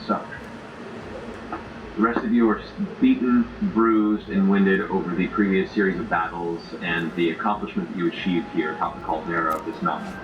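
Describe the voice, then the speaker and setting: Male game master, low register, ornate narration with pauses. Distant mic, clear background noise. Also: steady tone, roomy sound, highs cut off.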